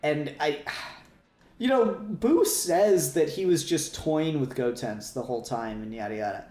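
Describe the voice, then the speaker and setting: A man co-hosting a podcast in casual, opinionated discussion, often halting to repeat and rephrase himself. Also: a little high, expressive, dark tone. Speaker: podcast co-host. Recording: roomy echo, faint music.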